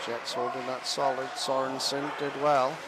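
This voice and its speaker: A man giving live play-by-play commentary on an ice hockey game, chatty and self-deprecating, sometimes pausing to find his words. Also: mid-range voice, level tone, heavy background noise. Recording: music clearly audible behind the voice. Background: music.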